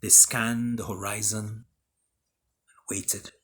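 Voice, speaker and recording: A man reading and retelling a fable as its author, with dramatic pauses and emphatic delivery. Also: fairly low pitch, little pitch movement, fairly bright tone, slightly rough. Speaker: author reading his own book.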